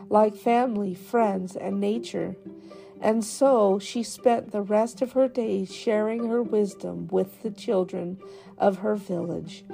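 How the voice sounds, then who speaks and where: A woman reading scripted children's folk tales in a measured, deliberate storybook delivery. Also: medium pitch, gravelly, warm tone, poor audio.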